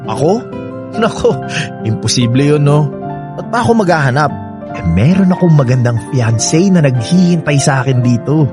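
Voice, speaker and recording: An actor playing a devoted young Filipino boyfriend in a radio drama, sweet-talking, playful and coaxing. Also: male voice, a little high, lively intonation, moderate pace, music in the background, lightly acted. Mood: teasing. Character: devoted, reassuring young boyfriend heading abroad.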